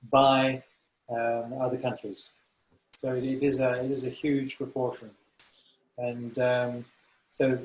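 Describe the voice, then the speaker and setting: An English man in his sixties answers interview questions at a considered, halting pace, often pausing on fillers and starting over. His voice sits at an ordinary middle pitch. The room around him rings with a noticeable echo. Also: a little mellow. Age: 60s. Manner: thoughtful, halting, many fillers and restarts. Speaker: business consultant.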